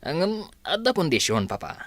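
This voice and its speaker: A man's voice: fairly high pitch, sing-song, moderate pace, dry recording. A man playing an evasive, self-justifying son in an Ilocano radio drama, with a hesitant delivery. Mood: hesitant explaining.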